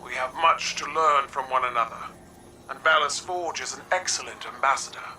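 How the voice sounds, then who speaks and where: An actor with a man's voice playing a regal alien war leader in a video game, formal, proud and deliberate. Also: medium pitch, pushed and bright, slight background noise. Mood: proud formality. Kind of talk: dramatic video game acting. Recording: distant voice under clear music. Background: music.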